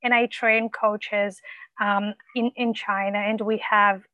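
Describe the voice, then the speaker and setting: A woman coach mentor, whose first language is not English, giving supportive, measured feedback with frequent pauses and ums. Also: medium pitch, flat delivery, sharp tone, no echo, poor audio.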